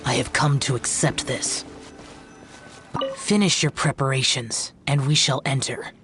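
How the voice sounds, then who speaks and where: A man's voice: mid-range voice, unhurried, a bit bright, close to an everyday voice. A man voicing an ancient yet youthful demon slayer in a video game, stoic and world-weary, speaking in a solemn, formal, curt way. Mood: stoic, resolute. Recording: game music behind the voice.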